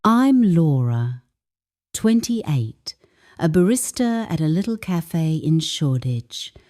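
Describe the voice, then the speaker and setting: A woman, an English teacher, calmly reading a first-person story aloud as scripted narration. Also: deep voice, takes it slowly.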